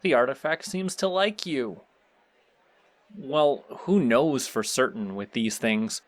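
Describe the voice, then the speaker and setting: A man's voice: medium pitch, lively intonation, takes it slowly. A man playing a pleasant supervillain boss in an audio roleplay, speaking politely and formally as he instructs the listener. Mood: intrigued, measured. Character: courteous, surprisingly pleasant male supervillain boss.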